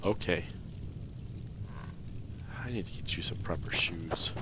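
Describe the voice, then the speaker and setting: Male let's play host: deep voice, casual, understated, clear game ambience behind. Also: slow pace, background noise. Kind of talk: casual gameplay commentary. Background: video game ambience.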